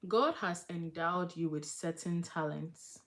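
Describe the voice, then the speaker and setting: A woman hosts a casual devotional livestream in a voice a little lower than most women's. She speaks in an earnest, conversational way, moving between personal testimony and reading aloud. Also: slow. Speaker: livestream host.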